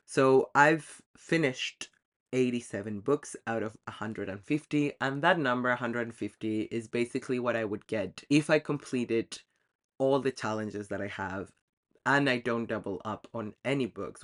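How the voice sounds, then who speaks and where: Lowish-voiced guy rambling about his book goals, lots of ums, laughs at himself. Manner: chatty, self-deprecating, frequent ums, laughs at himself. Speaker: book vlogger. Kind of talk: casual chat reviewing reading goals.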